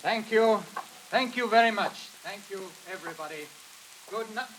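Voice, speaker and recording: A man's voice: high voice, normal speed, a bit bright, poor audio. A man playing a deluded stage actor who believes he is a murderous strangler, theatrical and grandly courteous, in a 1940s radio thriller. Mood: dazed, courtly. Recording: hissy vintage 1940s radio recording.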